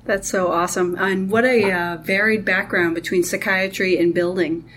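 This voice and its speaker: A woman hosting a podcast, reading a scripted intro and asking interview questions in a friendly, measured way. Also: medium pitch, fairly even intonation, a bit bright, slight background noise. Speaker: podcast host.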